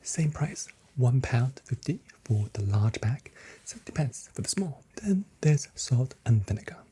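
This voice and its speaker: ASMR role play, shop assistant, breathy whisper, soft-spoken, mellow, gentle playful patter, faint background noise. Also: medium pitch, big pitch swings, measured pace.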